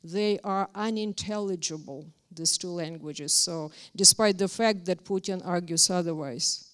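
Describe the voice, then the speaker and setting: A woman's voice: on the low side, unhurried. A woman from Ukraine, speaking as a guest at a university talk in an earnest, emphatic way. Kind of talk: university lecture.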